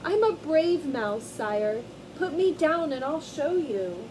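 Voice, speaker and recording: A woman's voice: high-pitched, big pitch swings, slow pace, background noise, pitched above the performer's own voice, full character voice. A woman voicing a small, brave male mouse who pleads eagerly. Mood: pleading.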